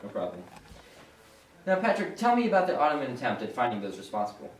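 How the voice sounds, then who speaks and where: Young male student anchor, rapid scripted delivery, recorded in an echoey room at a distance. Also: medium pitch, huge pitch swings, slight background noise. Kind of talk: scripted news report.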